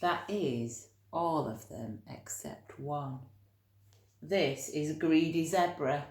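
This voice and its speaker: A woman reading a children's story expressively, with dramatic emphasis and drawn-out key words. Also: low voice, a little mellow, echoey room.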